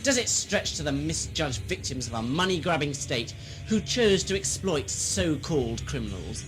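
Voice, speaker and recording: A man's voice: fairly high pitch, big pitch swings, moderate pace, rough recording. A man playing a grandiose, greedy, gleefully murderous villain lord in an audio sci-fi drama, with a theatrical, mocking, mock-courteous delivery. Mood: sardonic, challenging. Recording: music underneath.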